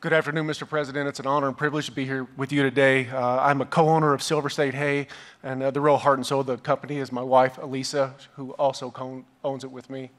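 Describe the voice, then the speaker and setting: A man's voice: medium pitch, level tone, quick pace, a bit bright. A man from Chicago, a business co-owner, speaking formally and respectfully at a panel table in a large hall. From Chicago, raised near Lexington, Kentucky. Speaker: business co-owner, Marine veteran. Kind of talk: formal remarks at a roundtable.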